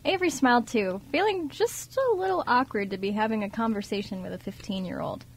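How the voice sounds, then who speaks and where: A woman's voice: on the high side, animated, fairly quick, breathy edge. A woman reading scripted narration as the narrator of an amateur radio drama.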